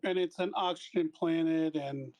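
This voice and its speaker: A man with a fairly high-pitched voice talks casually. He is a player in a tabletop game, speaking in a plain conversational way. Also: fairly even intonation, moderate pace, darkish tone, no room sound. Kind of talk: casual chat.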